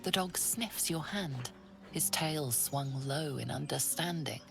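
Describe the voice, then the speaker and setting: An actress narrating a fantasy game in a measured, solemn, suspenseful storytelling manner, with breathy phrase endings. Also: female voice, sits low, heavily acted. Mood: solemn, hushed. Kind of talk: dramatic scripted second-person narration. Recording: studio voice over background music.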